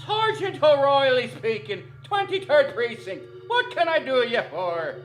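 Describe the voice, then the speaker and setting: High-pitched cop played by a man, condescending, glib, animated, old-time radio comedy, music behind. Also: unhurried, heavily acted. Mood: glib, patronising. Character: glib, condescending Irish police sergeant, comically callous. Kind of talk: 1940s radio comedy acting.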